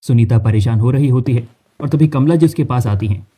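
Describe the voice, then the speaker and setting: A man's voice: mid-range voice, a little fast, dark tone. A man reading scripted narration for a cartoon story.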